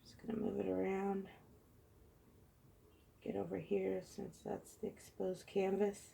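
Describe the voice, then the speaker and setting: A woman with a hoarse, froggy voice chatting softly as she pours and tilts acrylic paint, recorded on a phone mounted above her table. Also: mid-range voice, monotone, a little slow. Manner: soft-spoken, chatty, muttering while working. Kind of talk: casual narration while painting. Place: indoor craft table. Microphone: phone held high above the table.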